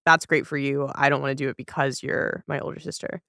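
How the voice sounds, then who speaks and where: Guy podcast host, really clean smooth voice, casual questions, dry close sound. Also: mid-range voice, little pitch movement, quick pace, sharp tone, no room sound. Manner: attentive, restates to clarify. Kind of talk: casual interview chat.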